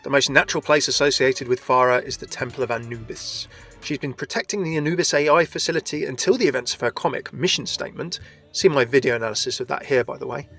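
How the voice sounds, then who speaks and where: A man's voice: mid-range voice, quick pace, vocal fry, a bit bright, faint music behind. A man hosting a scripted game lore video, chatty and often addressing his viewers directly.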